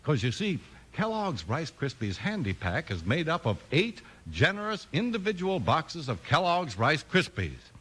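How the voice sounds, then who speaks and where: A man's voice: fairly high pitch, huge pitch swings, unhurried, few pauses, bright, forceful tone, breathy edge. A man delivering a chatty scripted commercial as a 1950s radio announcer.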